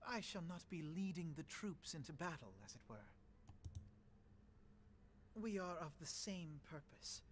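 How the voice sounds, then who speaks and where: Young male commander, high-pitched, idealistic, formal oratory, archaic wording, fantasy game. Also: lively intonation, a little slow, pauses often, a little hiss or hum. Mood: humble, resolute. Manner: formal, lofty, archaic phrasing. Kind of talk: scripted game voice acting, oratory.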